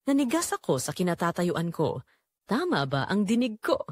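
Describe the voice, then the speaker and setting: Female Filipino storyteller for audio drama, theatrical first-person read, highly animated pitch, very dry recording. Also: normal speed, no room sound.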